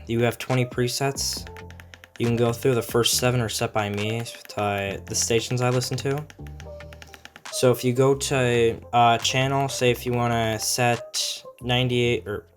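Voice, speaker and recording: Male reviewer, mid-pitched, informal and explanatory, walking through a product casually, some music behind. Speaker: gadget reviewer.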